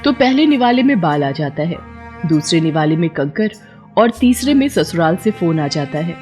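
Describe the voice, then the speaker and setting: A woman's voice: lowish voice, very animated, moderate pace, darkish tone, faint music behind. A woman storyteller reading scripted folktale narration.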